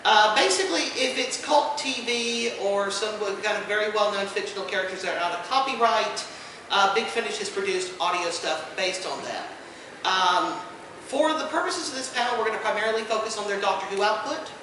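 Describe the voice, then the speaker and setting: A man's voice: high voice, brisk. A man hosting an informal convention panel, explaining things with dry humor. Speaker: panel host and podcast co-host. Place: indoor convention panel room. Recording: echoey room, distant mic, background noise.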